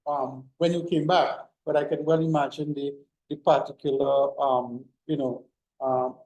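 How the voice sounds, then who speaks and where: A man's voice: medium pitch, little pitch movement, unhurried, a little mellow, echoey room, rough recording. A middle-aged man giving an informal classroom lecture, full of fillers and restarts. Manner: rambling, many fillers and restarts. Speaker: university lecturer.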